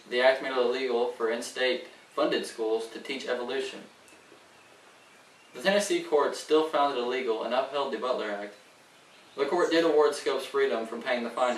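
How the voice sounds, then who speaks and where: A man's voice: mid-range voice, close to an everyday voice. Echoey 1920s radio correspondent acted by a man. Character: solemn 1920s male radio news correspondent. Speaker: news reporter. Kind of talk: scripted newscast reading, amateur period skit. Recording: roomy, distant, faintly noisy.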